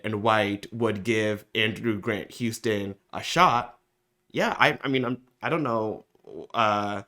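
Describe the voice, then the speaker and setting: A man, a community organizer, answering interview questions in a thoughtful, halting way with frequent pauses. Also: on the low side, fairly bright tone. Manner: thoughtful, many fillers and restarts.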